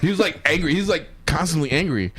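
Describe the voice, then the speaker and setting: A man's voice: a little high, animated, quick pace, a crowd in the background. A man co-hosting a podcast, firing curious questions and joking in casual chat. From Chicago, north side. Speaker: podcast co-host.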